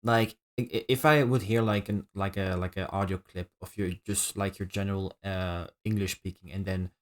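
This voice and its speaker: A man with a low voice hosts a relaxed podcast conversation. He is polite and hesitant, feeling his way through each sentence in stops and starts. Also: normal speed. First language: Dutch. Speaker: podcast host. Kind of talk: casual podcast interview chat.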